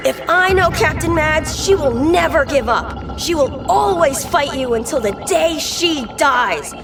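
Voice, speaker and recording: A woman's voice: high voice, lively intonation, unhurried, recorded from a distance, over music. A woman voicing a plucky, earnest and kind-hearted young boy in an audio drama, spirited and youthful. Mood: brave, pleading. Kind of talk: scripted audio drama acting.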